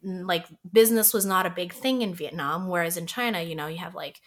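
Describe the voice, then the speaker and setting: Casting: woman from Canada, low-ish pitch, relaxed guest chatter on a call, filler-heavy. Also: a little fast. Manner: chatty, many "like" fillers, laughs easily. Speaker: podcast guest. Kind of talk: casual chat. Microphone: remote call.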